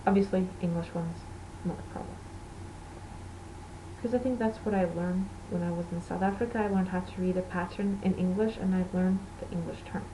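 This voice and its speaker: A woman's voice: lowish voice, steady tone, fairly quick, noisy background. A woman from Portugal, a native Portuguese speaker, hosting a knitting podcast in rambling, self-correcting casual chat.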